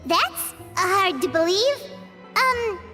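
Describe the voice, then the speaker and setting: A woman voicing a tiny, perky, childlike fairy companion in a video game, squeaky, blunt and curious. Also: sing-song, slow pace, over loud music, exaggerated voice. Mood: bewildered.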